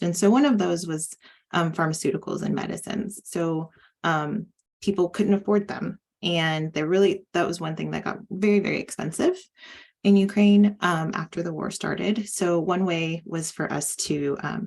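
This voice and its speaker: Creaky-voiced woman explaining programs. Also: fairly low pitch, fairly even intonation, normal speed, a little mellow. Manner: explanatory, many fillers. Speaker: relief program staff. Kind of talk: panel talk.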